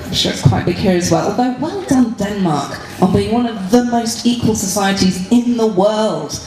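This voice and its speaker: A woman's voice: medium pitch, moderate pace, crowd noise. A woman giving an informal, joking after-dinner speech at a conference, chuckling between her points. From Britain. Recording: very echoey hall.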